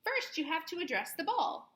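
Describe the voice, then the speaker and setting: A woman's voice: very high-pitched, big pitch swings, normal speed, bright, forceful tone, slight background noise, voice pushed up, heavily acted. A woman voicing a patient, good-natured frog father in a children's storybook. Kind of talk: children's storybook read-aloud.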